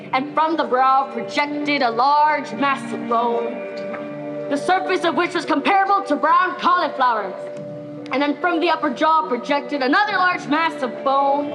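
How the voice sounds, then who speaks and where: A woman playing a grave Victorian doctor, delivering a measured, lecture-like dramatic monologue on stage. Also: high-pitched, rough recording. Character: grave Victorian doctor presenting a deformed patient. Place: high school theater stage. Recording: music behind the voice.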